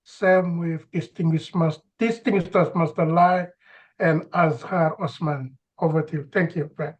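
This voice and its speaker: A man from Malaysia giving a brisk, formal self-introduction on a video call. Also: fairly high pitch, fairly even intonation, lo-fi sound. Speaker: club member.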